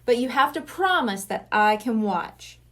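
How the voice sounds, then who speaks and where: A woman's voice: a little high, lively intonation, a little slow, a bit bright. A woman reading the part of a friendly, playful middle-aged male hypnotist in a children's storybook, courteous and jovial. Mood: amused.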